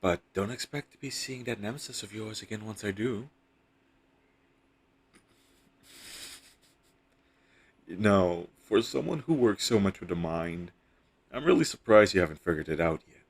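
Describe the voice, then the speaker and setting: A man's voice: on the low side, animated, fairly quick, husky. A man playing a captured superhero posing as a hostage in an intimate audio roleplay, wry, teasing and flirtatious. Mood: smug, playful.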